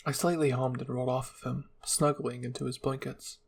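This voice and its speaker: A man's voice: mid-range voice, fairly even intonation, fairly quick, gravelly, husky. A man reading a scripted fan fiction story as narrator, in the style of an ASMR roleplay reading.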